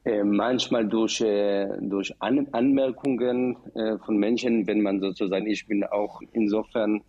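A man with an Israeli accent talks as a radio guest, stopping and restarting often. His voice sits low and husky. The sound is slightly dull and muffled. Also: fairly even intonation, a little slow, mellow. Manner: hesitant, many fillers and restarts. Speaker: studio guest, professor. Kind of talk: radio discussion.

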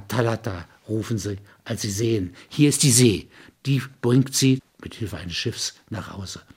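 A man's voice: medium pitch, husky. A man narrating in a literary register, as a reader and commentator.

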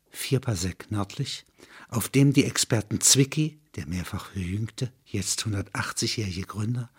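A man's voice: medium pitch, slightly rough. A man narrating a satirical science fiction radio play as a sober chronicler.

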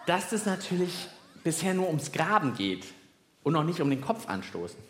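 A man's voice: on the high side, very animated, moderate pace. A man co-hosting a live children's science show, chatting casually and thinking aloud in a playfully puzzled way. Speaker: co-host and test subject on a children's science show. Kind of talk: casual, spontaneous chat on stage. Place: live on stage at a podcast festival.

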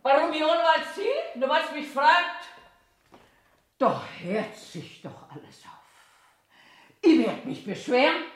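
A woman's voice: high-pitched, big pitch swings, slow pace, long pauses, full character voice. An actress in her eighties playing a cranky, eccentric old toilet attendant in a theatrical monologue, querulously talking to herself in a Bavarian accent. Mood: indignant, defiant. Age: 80s. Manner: self-talking, querulous, emphatic. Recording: echoey room sound.

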